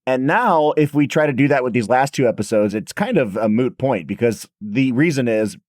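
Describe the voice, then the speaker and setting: A man in his thirties hosting a podcast in casual chat, his voice hoarse and raspy, telling stories in a chatty, self-deprecating way. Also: medium pitch, lively intonation, moderate pace, a little mellow, no room sound, studio quality. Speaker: podcast host.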